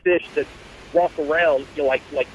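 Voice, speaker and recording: A man's voice: a little high, fairly even intonation, normal speed, slight background noise. Dry, exasperated man on a phone call. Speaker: phone-in guest. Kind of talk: casual phone conversation. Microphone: telephone.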